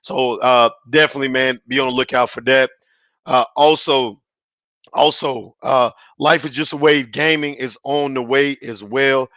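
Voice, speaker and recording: A man hosting a casual sports podcast, his voice raspy and hoarse from yelling, talking in a hyped, slangy way on a headset mic. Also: medium pitch, fairly even intonation, normal speed, no echo. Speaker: podcast host.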